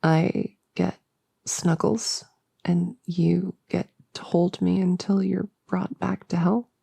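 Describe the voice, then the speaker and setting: A woman playing a nervous, lonely cultist who summons demons, in an intimate sleep-aid roleplay, stammering and rambling softly with breathy edges into a close microphone. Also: on the low side, little pitch movement, dry recording, heavily acted. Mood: tender, shy. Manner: flustered, halting, stammering, rambling. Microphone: close, dry microphone.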